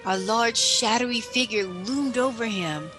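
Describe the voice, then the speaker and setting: A woman narrating a children's story as its host, in an expressive, dramatic scripted style. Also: a little high, a little slow, music in the background.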